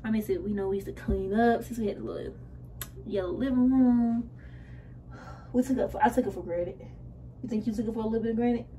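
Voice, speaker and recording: Higher-voiced young American woman vlogger. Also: fairly quick, pauses often, mellow. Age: 20s. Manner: slangy, conversational. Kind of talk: casual chat while getting ready. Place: small indoor room. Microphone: camera a short distance away. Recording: slightly distant camera mic, faint noise.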